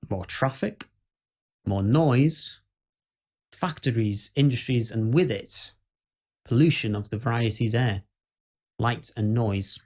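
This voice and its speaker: A man acts out a genteel English gentleman narrating an audio ghost story. He is British, formal and unruffled, with a dry wit and a medium-pitched voice. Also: close to an everyday voice. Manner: formal, wry, measured. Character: genteel, unruffled English heir recounting his haunted family house. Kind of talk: scripted ghost story monologue.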